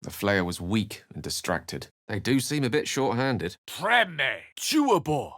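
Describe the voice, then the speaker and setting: A man's voice: mid-range voice, very animated, unhurried, a bit bright, no echo, clean studio sound. A British man voicing an eloquent, courteous wizard in a video game, with articulate, bookish and theatrical phrasing. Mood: wry, thoughtful, then incantatory. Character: eloquent, urbane male wizard, wry and courteous. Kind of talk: video game character voice acting.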